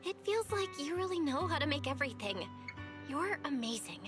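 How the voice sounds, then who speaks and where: A woman's voice: sits high, sing-song, fairly quick. An actress playing a friendly, encouraging young woman in a video game, easygoing and appreciative. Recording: music playing clearly behind the voice.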